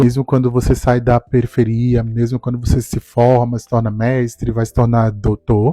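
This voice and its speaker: A man, telling his life story to camera in a calm, reflective way. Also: mid-range voice, no echo. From Salvador, Brazil. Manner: calm, reflective, measured delivery. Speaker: speech therapist and doctoral student. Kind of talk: personal testimony to camera.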